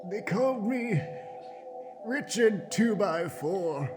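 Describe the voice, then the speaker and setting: A disapproving ghost father, acted out by a man in an improvised comedy radio play. The voice is high and slow, breaking into theatrical exclamations, with music clearly playing underneath. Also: expressive. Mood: grumbling.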